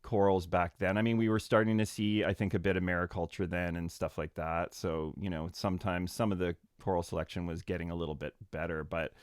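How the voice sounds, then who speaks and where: A man's voice: low-pitched, little pitch movement, smooth, no echo, clean studio sound. A man hosting a podcast, talking in an easygoing, chatty way. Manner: easygoing, chatty, quick laughs. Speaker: podcast host. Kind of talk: casual podcast chat.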